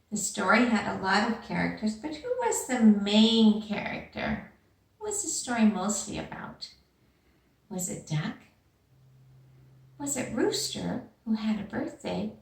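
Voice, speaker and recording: A woman teacher reading a storybook aloud and talking to young children, expressive, with questions and pauses for them. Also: medium pitch, creaky, breathy edge. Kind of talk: animated storybook read-aloud and lesson.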